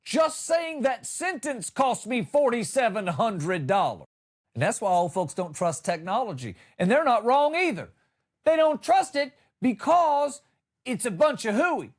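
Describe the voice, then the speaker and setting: A man's voice: very high voice, sing-song, a little slow. A middle-aged man hosting a comedy podcast, telling stories in a folksy, colloquial and punchy way with comic exasperation. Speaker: comedy podcast host and former radio entertainer. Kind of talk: comedic storytelling monologue.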